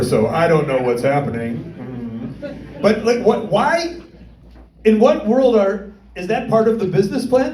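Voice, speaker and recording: A man's voice: sits high, a little mellow. A man in casual banter, a teasing, incredulous sidekick to a storyteller. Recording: roomy echo, distant mic.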